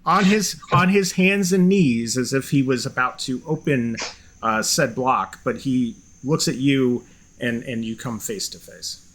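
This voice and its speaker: Brief: male game master, mid-pitched, halting narration with fillers. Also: a bit bright, a little hiss or hum. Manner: halting, many fillers and restarts.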